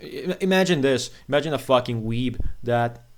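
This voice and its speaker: A man giving an unscripted, opinionated rant as a video commentator, blunt, scornful and profane, with a halting, stammering delivery. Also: mid-range voice, expressive.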